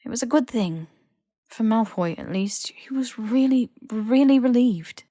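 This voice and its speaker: A woman's voice: fairly high pitch, animated, unhurried, mellow, dry recording, studio quality, voice pushed up. A woman voicing a hesitant, defensive but well-meaning young British man in an audiobook story. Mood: defensive, weary. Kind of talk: audiobook character dialogue.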